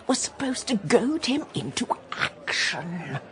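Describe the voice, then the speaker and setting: A woman's voice: on the high side, very animated, slow pace, background noise. An actress playing a blunt, slangy, exasperated young English woman in a radio comedy drama. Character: blunt, exasperated posh young English friend explaining gossip. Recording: crowded pub ambience.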